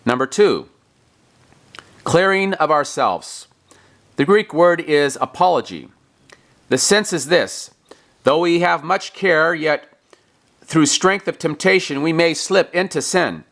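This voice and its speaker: A man reading a religious text aloud like a preacher, in deliberate, emphatic, declamatory phrasing. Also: a little high, animated, fairly bright tone. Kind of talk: scripted devotional reading, sermon-like.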